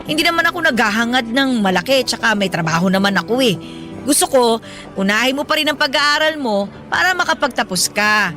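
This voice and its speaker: A woman's voice: fairly high pitch, animated, brisk, pushed and bright, soft background music. An actress playing a hardworking, affectionate mother in her late thirties in a Filipino radio drama, colloquial and playfully indignant. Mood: earnest, affectionate. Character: hardworking, proud, affectionate 37-year-old mother driving a pedicab.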